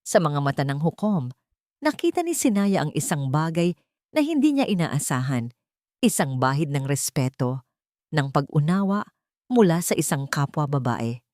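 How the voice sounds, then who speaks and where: A woman's voice: low-pitched, very animated, normal speed, darkish tone, no echo, studio quality. A woman with a Filipino accent reading a scripted dramatic story as narrator.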